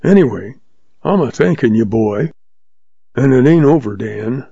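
A man's voice: medium pitch, lively intonation, measured pace, gravelly, dark tone, breathy edge, dry recording, exaggerated voice. A man voicing an old folksy Western man who speaks in a homespun drawl, in a dull lo-fi recording. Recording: dull lo-fi audio.

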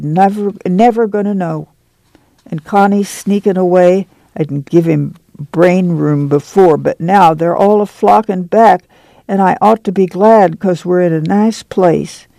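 A man voicing a tired, steadfast farm mother with a soft rural drawl, in his own creaky, highish voice. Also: no echo.

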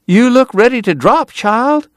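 Man putting on a gushing, high-pitched Southern lady. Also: expressive, a little slow, no echo, clean studio sound. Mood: alarmed, doting. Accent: Southern US, Georgia. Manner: gushing, bossy, chatty. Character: fussy, motherly, effusive Southern doctor's wife. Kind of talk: audiobook character voice.

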